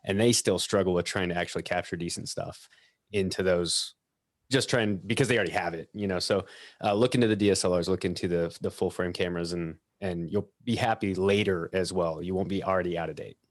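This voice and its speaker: Deep-voiced man explaining practically. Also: fairly even intonation, a little fast, no room sound, clean studio sound. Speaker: audio company expert on a panel. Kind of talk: explanatory panel talk.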